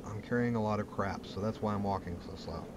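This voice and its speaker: A man hosts a gaming video in a fairly low voice. He comments casually on his play in a plain, folksy way. Game ambience is clearly audible behind him. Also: quick pace. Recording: clear background game noise.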